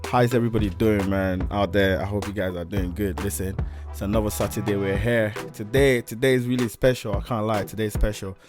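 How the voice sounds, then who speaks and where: A man hosting a radio show in a studio. He speaks in an upbeat, repetitive way, full of shout-outs. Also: fairly low pitch, steady tone, fairly quick, over music. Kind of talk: casual radio interview.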